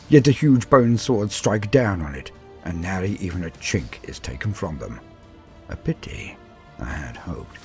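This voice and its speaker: A proud, calculating battle lord, voiced by a man tells his war tale over a music bed. His voice sits a little low and moves widely in pitch. The phrasing is solemn, deliberate and theatrical, dropping into hushed asides as in an audio storybook. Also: lightly acted. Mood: tense, measured. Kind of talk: dramatic first-person story narration.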